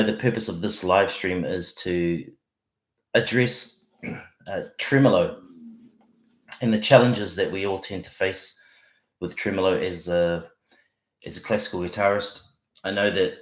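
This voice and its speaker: A man talks guitar on an early-morning livestream, his low voice croaky and broken by throat-clearing. He wanders through fillers and restarts in a friendly, unhurried way. The small room around him adds a noticeable echo. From New Zealand. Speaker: guitar teacher. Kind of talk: casual livestream lesson. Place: small home office.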